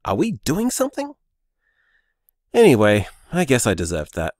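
A man's voice: medium pitch, wildly swooping pitch, moderate pace, a little mellow, dry recording, studio quality. A man playing an easygoing, sceptical young boyfriend in a naturalistic audio drama, conversational and hesitant. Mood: wry, affectionate. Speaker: podcast drama actor.

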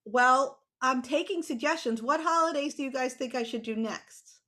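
A woman's voice: high voice, moderate pace, a bit bright. A middle-aged American woman chatting casually with children on a video call, explaining things in an encouraging way. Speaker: children's author and illustrator as guest. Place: small home room with bookshelf, indoors. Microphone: computer mic on video call.

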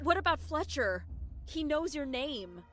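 Pleading, fretful young woman thief, high-pitched, unhurried, expressive, radio-play style. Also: heavily acted. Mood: worried. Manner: worried, pleading. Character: anxious, pleading young female reluctant thief. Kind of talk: audio drama acting. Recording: music bed behind dialogue.

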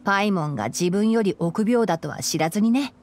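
A woman playing a calm, knowledgeable young male forest ranger in an anime game, explaining things in a composed, gently teasing way. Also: mid-range voice, brisk, slight background noise, natural voice. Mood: dryly teasing. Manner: explanatory, composed, lightly dry. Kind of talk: Japanese anime game voice acting.

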